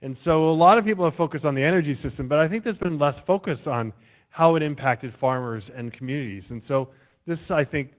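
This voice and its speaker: A man giving enthusiastic introductory remarks as a moderator, sometimes repeating himself. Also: mid-range voice. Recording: tinny phone-like lecture recording.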